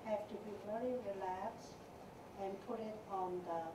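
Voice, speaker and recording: A woman teaching a meditation class, speaking in a gentle way with simple phrasing and many fillers. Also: mid-range voice, fairly even intonation. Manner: slow, gentle, non-native phrasing with fillers. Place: temple meditation hall. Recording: echoey hall, distant mic, noisy.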